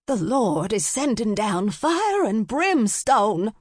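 Woman acting a hysterical, high-pitched frontier townswoman. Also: big pitch swings, slow, husky, dry recording, studio quality, heavily acted. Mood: terrified. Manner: hysterical hollering. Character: panicked frontier townswoman crying out doom.

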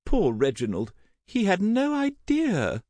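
A soft, genteel older mother, tender and sorrowful, as a male narrator plays her in an audiobook. The pitch is raised well up and rises and falls wistfully, recorded very dry. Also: wildly swooping pitch, normal speed, no room sound, full character voice. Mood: tearful sorrow. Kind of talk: audiobook character acting.

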